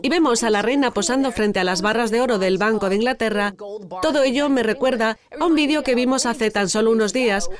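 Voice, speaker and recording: A woman co-hosting a financial news show, telling news items in a brisk, wry way. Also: fairly high pitch, a crowd in the background. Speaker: co-host. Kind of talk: dubbed financial news commentary.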